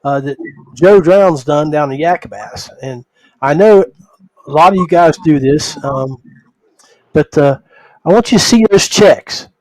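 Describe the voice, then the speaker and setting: A man hosting a casual podcast conversation, speaking in a halting way and often repeating words. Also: on the high side, lively intonation, a little mellow, no room sound, rough recording. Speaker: podcast host.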